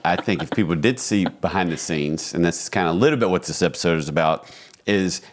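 Deep-voiced man podcast co-host. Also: fast talker. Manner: earnest, animated storyteller. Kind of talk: casual chat.

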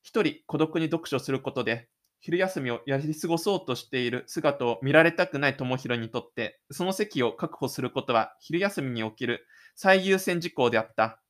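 A man's voice: medium pitch, expressive, moderate pace. A man reading scripted third-person narration for an audio drama.

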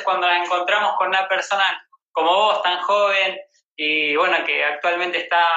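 A man's voice: high-pitched, bright, forceful tone. An Argentine man hosting a casual livestream interview. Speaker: host interviewing a guest. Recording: echoey room, dull livestream audio.

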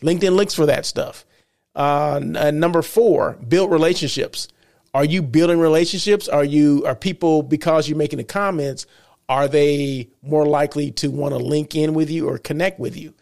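Congested, gravelly-voiced male show host. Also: on the high side, normal speed, a little mellow. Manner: rambling, self-correcting, chatty. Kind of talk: casual solo show talk.

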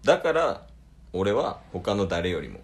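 A man's voice: low-pitched, sing-song, unhurried, heavily acted. A man acting a proud, competitive contestant rival in an amateur radio drama, talking bluntly and informally with a Kansai accent. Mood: fiercely determined. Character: competitive older male rival on a dating show, proud and determined.

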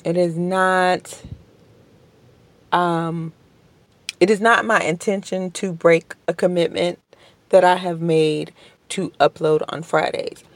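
A woman hosts her podcast alone from home, her voice strained because she is under the weather. She talks slowly and politely, with lots of hesitations and restarts, in a gravelly voice with vocal fry. The sound is dry and close to the mic. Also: lowish voice, steady tone, no echo. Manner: slow, hesitant, many ums and restarts; polite and apologetic. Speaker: podcast host. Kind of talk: casual solo podcast monologue. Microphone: home podcast microphone.

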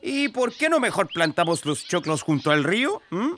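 A man's voice: high-pitched, sing-song, moderate pace, fairly bright tone. A man playing a practical, folksy hunter-gatherer father in a radio play. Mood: folksy, questioning. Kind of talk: theatrical radio play acting. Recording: clear ambient noise behind.

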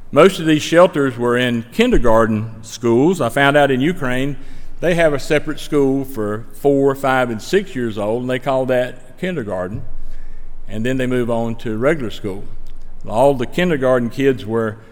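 A man with a Stokes County North Carolina accent giving a folksy, earnest mission trip report to a church, speaking plainly and conversationally over slides. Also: medium pitch. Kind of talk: plainspoken slide-show presentation. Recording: long hall reverb.

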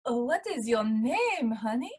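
A coquettish, scheming femme fatale from an old radio crime play. Her very high voice swoops melodramatically and takes its time, as if forever playing the victim. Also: slightly rough, full character voice. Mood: seductive cooing.

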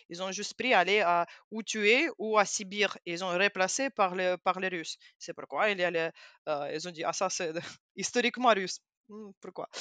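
A Ukrainian woman whose first language is Russian speaks in a bright, forward voice. She explains things in animated, halting French, pausing often on fillers. The sound is dry, with no room echo. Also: mid-range voice. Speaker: guest. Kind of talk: casual interview talk.

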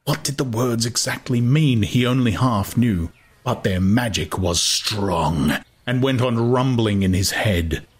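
A man narrating a literary audiobook in a dramatic, intense way, with deliberate phrasing. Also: medium pitch, husky.

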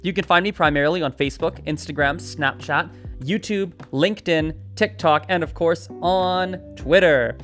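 A man's voice: a little high, moderate pace, fairly bright tone, faint music behind. A man hosting a solo podcast, talking in an upbeat, chatty and rambling way with restarts. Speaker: podcast host. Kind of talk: solo podcast advice talk.

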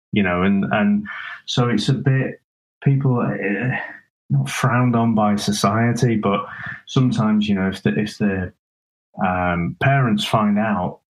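A man co-hosting a casual film review talk, explaining things in a halting, conversational way with tag questions. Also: lowish voice, fairly bright tone, poor audio. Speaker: film review podcast co-host.

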